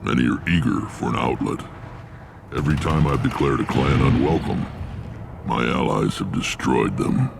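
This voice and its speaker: A man voicing a huge, gruff alien clan leader in a video game, gravelly, blunt and authoritative. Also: normal speed, heavy background noise, exaggerated voice. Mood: firm, proud. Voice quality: gravelly, rumbling.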